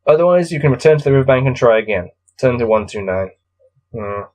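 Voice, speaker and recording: A man's voice: mid-range voice, expressive, quick pace, darkish tone, lo-fi sound. A man reading a gamebook aloud as host of a let's-play, musing to himself with casual, self-deprecating asides.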